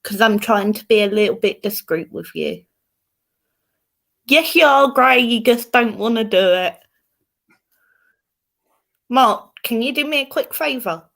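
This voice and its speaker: A woman's voice: a little high, animated, measured pace, gravelly, long pauses, a bit bright. English woman hosting a chatty card reading. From England. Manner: chatty, greets viewers by name. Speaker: host and card reader. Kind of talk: casual live-stream chat and card readings.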